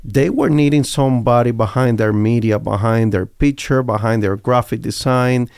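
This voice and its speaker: A man's voice: mid-range voice, moderate pace, creaky, a little mellow, clean tone, no echo, studio quality. A man from Cuba with a Cuban Spanish accent, talking earnestly and reflectively as an interview guest. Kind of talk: interview talk.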